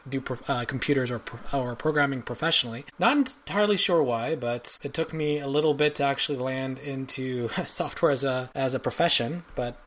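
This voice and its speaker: A man's voice: medium pitch, fairly quick, few pauses, a bit bright. A man in his forties from Belgium, a podcast guest telling his story in a thoughtful, rambling way. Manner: thoughtful, rambling, many fillers and restarts. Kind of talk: casual interview chat.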